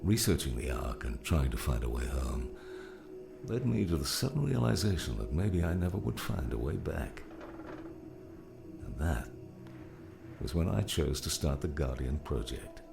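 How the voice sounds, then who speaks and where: A gruff old mentor in an audiobook, acted out by a male narrator, with an extremely low, creaky voice that rises and falls freely. He is wry and fatherly, and there is music under his words. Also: big pitch swings, unhurried, long pauses, exaggerated voice. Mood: earnest, reflective. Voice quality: deep, gravelly.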